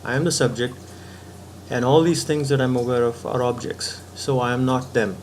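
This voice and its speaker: A man's voice: medium pitch, moderate pace, a little mellow, slight background noise. A man giving a patient philosophical talk, reasoning step by step and often checking in with the listener. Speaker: spiritual teacher.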